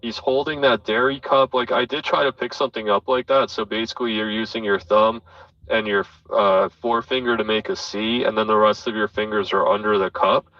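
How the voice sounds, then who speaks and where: A man's voice: on the low side, steady tone, a little fast, fairly bright tone, no room sound, far from the mic. A man hosting a live audio chat, rambling and swearing as he thinks aloud, with plenty of fillers. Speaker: host. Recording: dull audio from off mic with faint noise.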